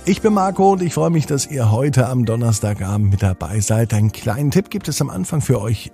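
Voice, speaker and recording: A man's voice: mid-range voice, gravelly, warm tone. A man hosting a children's podcast, narrating a bedtime story expressively and speaking directly to children.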